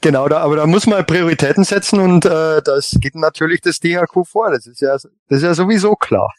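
A man co-hosting a podcast in casual chat, often halting and repeating words. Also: a little high, dry recording, studio quality. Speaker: podcast co-host.

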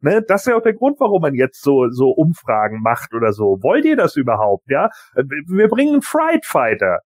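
A man's voice: mid-range voice, huge pitch swings, moderate pace, no echo, clean studio sound. A man in a casual podcast discussion, speaking colloquially and emphatically with frequent tag questions. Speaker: podcast panelist.

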